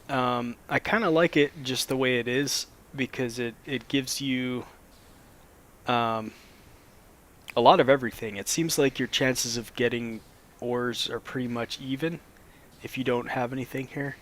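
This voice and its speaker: Guy a bit hoarse from a cold, chill rambly gameplay talk, lots of ums. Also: mid-range voice. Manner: relaxed, rambling, lots of fillers. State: slightly hoarse after a cold. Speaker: Minecraft let's play host. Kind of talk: casual game commentary.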